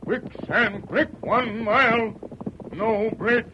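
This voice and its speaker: A man's voice: very high voice, slow, background noise. Western hero's loyal companion: terse broken English, male, dull old-time radio sound. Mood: grave, concerned. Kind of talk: 1940s radio western acting. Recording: muffled old radio recording.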